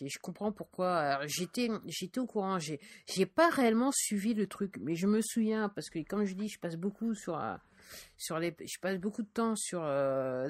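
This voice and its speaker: A woman's voice: low-pitched, no room sound, clean studio sound. A woman from France, an independent musician, talking casually in a monologue full of fillers and restarts. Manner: hesitant, many fillers and restarts.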